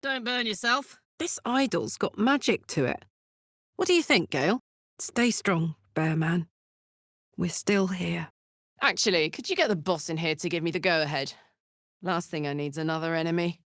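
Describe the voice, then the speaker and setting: A woman voicing a brash, warm-hearted fantasy warrior in a video game, blunt, informal and expressive. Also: lowish voice, normal speed, no echo, studio quality. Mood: earnest. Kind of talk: video game character voice acting.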